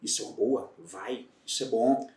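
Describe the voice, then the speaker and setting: A man's voice: on the low side, normal speed, darkish tone. A man in his fifties from Salvador with a Bahian accent, recounting his career as a guest on a podcast in a reflective, candid way. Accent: Bahian Brazilian Portuguese. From Salvador, Bahia, Brazil. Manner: reflective, candid storytelling with frequent restarts. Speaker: guest, retired federal police agent. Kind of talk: casual podcast interview. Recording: roomy echo, voice heard from a distance.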